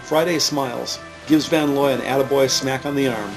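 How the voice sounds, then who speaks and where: A man's voice: mid-range voice, steady tone, moderate pace, over music. A man reading scripted present-tense narration for a crime audio drama.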